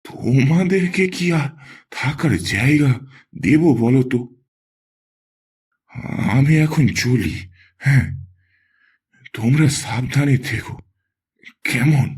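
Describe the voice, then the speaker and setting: A man playing a poor, wary old villager in a horror cartoon, with a husky, aged voice and halting delivery. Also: medium pitch, a little mellow. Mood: weary, apologetic. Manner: halting, drawn-out. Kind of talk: Bengali horror cartoon voice acting.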